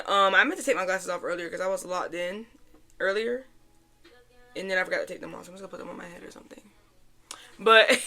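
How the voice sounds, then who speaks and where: Casual female vlog host, young adult, mid-pitched and bright with a slightly worn rasp, informal and chatty. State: hoarse after a weekend out. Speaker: podcast host.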